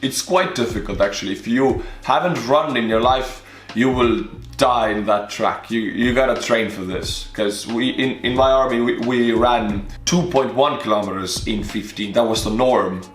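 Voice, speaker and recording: A man's voice: mid-range voice, echoey room. A young man with an Estonian accent, casually reacting to a video and telling stories from his army days, often restarting his sentences. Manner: chatty, restarts often, talks through personal stories. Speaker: YouTube reaction host.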